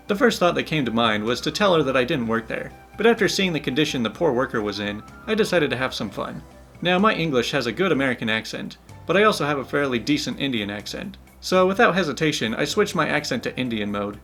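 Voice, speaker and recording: Brief: young male host, mid-pitched voice, animated and playful storytelling, faint music behind. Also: quick pace. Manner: animated, playful, laughs while telling. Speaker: channel host, story reader. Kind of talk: reading online stories aloud with commentary.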